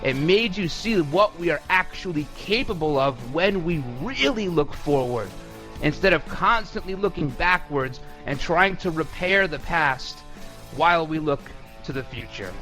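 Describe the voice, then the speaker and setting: A man's voice: fairly high pitch, expressive, unhurried, sharp tone, faint music behind. A male radio talk show host delivering a sarcastic, mocking political commentary monologue.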